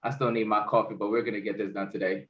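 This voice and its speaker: Upbeat low-voiced male host, echoey room. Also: level tone, fast. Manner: upbeat, with casual fillers. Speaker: interview host. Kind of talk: casual interview. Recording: echoey, dull-sounding room.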